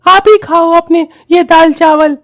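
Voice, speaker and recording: A woman's voice: very high voice, fairly even intonation, measured pace, faint music behind. A woman voicing a whiny, defiant young child in a cartoon story, complaining petulantly. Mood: petulant, angry. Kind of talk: Hindi cartoon story voice acting.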